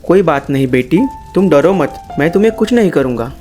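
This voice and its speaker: A man's voice: fairly high pitch, expressive, brisk, a little mellow, natural voice. A man playing a kind, reassuring middle-aged father telling his tale in a moral story. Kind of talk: animated moral story acting. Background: prominent music.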